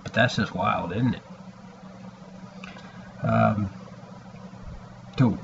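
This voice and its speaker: A man in his forties with a gravelly voice, giving a halting, rambling personal message to a webcam at home. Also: lowish voice. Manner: halting, hesitant, with long pauses. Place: small room indoors at home. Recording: dull lo-fi webcam audio, background noise.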